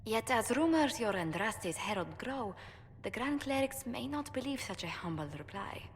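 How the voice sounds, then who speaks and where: An actress playing a tactful, courteous diplomat in a video game, speaking carefully and formally. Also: female voice, a little high, very animated, moderate pace. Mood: polite, businesslike. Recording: reverberant hall, faint background noise.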